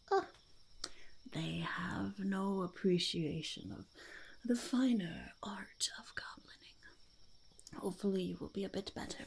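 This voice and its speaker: A woman's voice: mid-range voice, vocal fry. A woman voicing a fussy, impatient goblin boss in a whispered ASMR roleplay, muttering and repeating words. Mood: stern, impatient. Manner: speaks of self in third person, repeats words, mutters approval.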